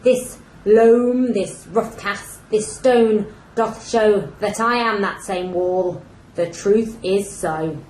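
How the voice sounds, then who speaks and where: A woman playing a solemn, literal-minded talking wall in an amateur Shakespeare radio play, reciting verse deliberately. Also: medium pitch, a little mellow, lightly acted. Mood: solemn announcing. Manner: deliberate, declamatory verse delivery. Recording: echoey room with background noise.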